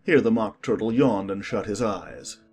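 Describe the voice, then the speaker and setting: A man narrating a dramatized storybook with measured, expressive delivery. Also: lowish voice, slightly rough, faint music behind.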